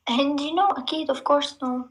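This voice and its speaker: A woman's voice: high voice, fast, slight background noise, low-quality recording. A woman from Lebanon chatting playfully as a guest on a livestream, mixing English and Arabic. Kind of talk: casual livestream chat.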